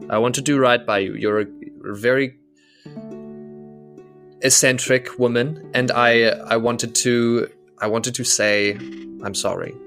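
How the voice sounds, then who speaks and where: Man playing a halting, earnest young adventurer. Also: medium pitch, fairly bright tone. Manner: halting, apologetic, repeats himself. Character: earnest, awkward, nervous male adventurer, tender-hearted.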